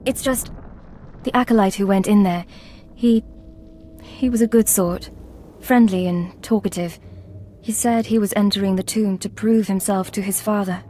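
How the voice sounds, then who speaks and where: A timid young woman, played by an actress, speaks in an earnest, hesitant way and breaks off mid-phrase. Her voice sits in a middle pitch, and faint noise hangs behind it in this game scene. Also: dark tone, close to an everyday voice. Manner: hesitant, pleading, with halting restarts. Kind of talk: video game voice acting.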